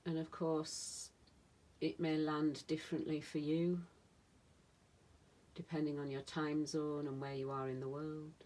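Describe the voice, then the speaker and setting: A woman meditation teacher leading a guided relaxation in a soothing manner, speaking in short phrases with long pauses. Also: deep voice, flat delivery, warm tone. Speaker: yoga nidra meditation teacher.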